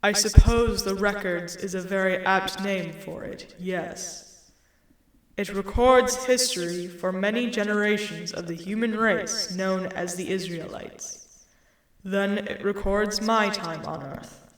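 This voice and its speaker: A woman voicing a calm, almighty disembodied male creator in a story, solemn, measured and patient, with an echo effect. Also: mid-range voice. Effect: reverberant echo on the voice. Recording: echoing reverberant sound.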